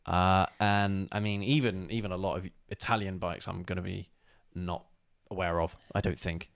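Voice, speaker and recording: A man's voice: deep voice, steady tone, moderate pace, creaky, no room sound. A man co-hosting a casual motorcycle live stream with his wife, greeting viewers by name.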